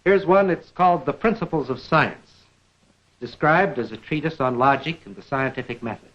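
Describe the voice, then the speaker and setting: A middle-aged American man presenting an educational lecture in a measured, deliberate manner, posing rhetorical questions, on a 1950s film soundtrack. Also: a little high, a bit bright, dull audio.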